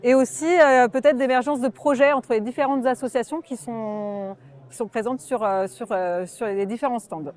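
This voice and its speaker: A woman giving an unscripted interview as an organiser, measured and often pausing to find her words. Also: fairly high pitch, darkish tone.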